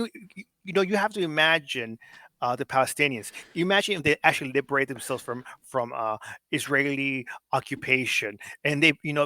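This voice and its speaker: A man's voice: on the high side, sharp tone, no room sound. Blunt, cussing man with a Mexican American accent. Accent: Mexican American, Los Angeles. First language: Spanish. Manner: blunt, profane, heated, with many restarts. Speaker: podcast guest. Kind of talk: casual political discussion.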